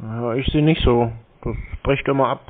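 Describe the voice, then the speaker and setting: A man answering cold calls in casual, terse, deadpan stonewalling replies. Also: mid-range voice, moderate pace. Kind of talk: casual phone talk. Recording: thin, band-limited phone call sound.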